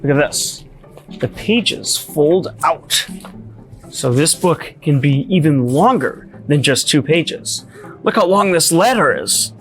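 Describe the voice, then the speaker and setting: A woman with a low-pitched voice hosts a children's show, explaining things in an encouraging, scripted way. Music plays clearly behind her. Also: expressive, slow. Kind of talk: scripted educational presenting to children.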